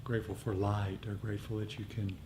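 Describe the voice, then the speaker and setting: A man sharing casual reflections with a meditation group, halting and repeating small phrases as he goes. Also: flat delivery. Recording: noisy live recording with low rumble.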